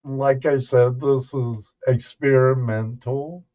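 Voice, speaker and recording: Male livestream storyteller, folksy and halting, very slow delivery, mid-pitched voice, casual chat. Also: fairly even intonation, dark tone, highs cut off.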